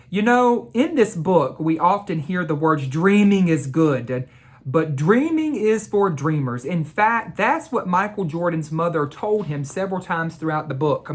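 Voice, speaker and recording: A woman educator reading a storybook and talking to children, in a deliberate, emphatic manner. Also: deep voice. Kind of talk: storybook read-aloud and talk to children.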